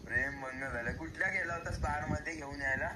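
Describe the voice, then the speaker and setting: A man's voice: a little high, fast, noisy background. A young man in a casual phone chat, questioning and scolding his friend in disbelief. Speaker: friend being pranked.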